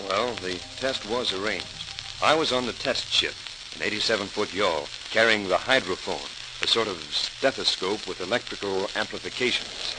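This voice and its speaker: A man's voice: on the low side, moderate pace, lightly acted. An actor playing an eager naval man recounting experiments he witnessed, in the style of an old radio docudrama. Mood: earnest, recounting. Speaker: narrator and participant. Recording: noisy, crackling old-time radio recording.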